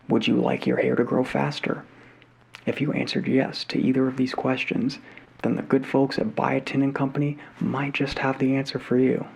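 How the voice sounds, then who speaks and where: A man playing a cheery 1950s television talk show host, speaking softly with salesman patter. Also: medium pitch, vocal fry, husky, heavily acted. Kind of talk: 1950s television announcer parody, soft-spoken.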